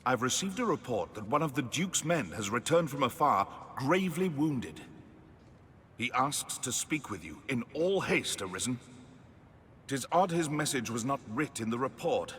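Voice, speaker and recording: A man's voice: mid-range voice, big pitch swings, normal speed, fairly bright tone. A man playing a dutiful court official in a fantasy game, speaking in an officious, formal, archaic manner. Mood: urgent formal. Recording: long echoing hall, faint noise.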